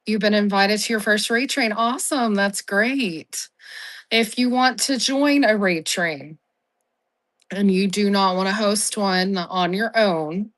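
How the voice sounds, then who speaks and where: A hoarse woman getting over the flu hosts a casual livestream from home. She talks in a chatty, friendly way with lots of ums and keeps apologizing for how her voice sounds. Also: medium pitch, takes it slowly, fairly bright tone. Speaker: live-selling app host. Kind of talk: casual livestream seller-support chat.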